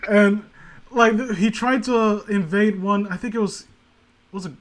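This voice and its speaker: A man with a notably high voice hosts a casual group chat. He rants and jokes in animated banter, swearing freely as he goes. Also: measured pace. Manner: profane, joking, animated banter. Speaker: host of a story reading stream.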